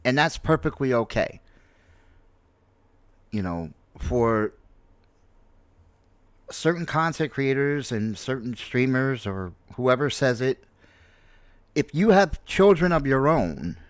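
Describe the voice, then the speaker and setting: A man in his late forties from New York City with an American accent, giving an unscripted personal opinion in a halting, reflective manner and repeating himself for emphasis. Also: medium pitch, big pitch swings, no room sound. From New York City, United States. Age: late 40s. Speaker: streamer, content creator.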